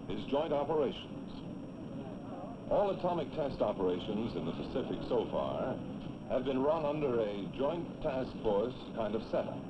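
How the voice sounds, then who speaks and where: A man in his forties with an American accent, hosting and narrating a scripted 1950s documentary. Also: medium pitch. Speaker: on-camera host and narrator. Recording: muffled, noisy 1950s film soundtrack.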